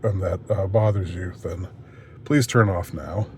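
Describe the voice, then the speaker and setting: A middle-aged man with a congested, stuffy voice, rambling through a halting solo monologue full of fillers and restarts, with a wry, self-deprecating manner. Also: deep voice, fairly even intonation, darkish tone, slight background noise. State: nasally congested. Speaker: vlogger.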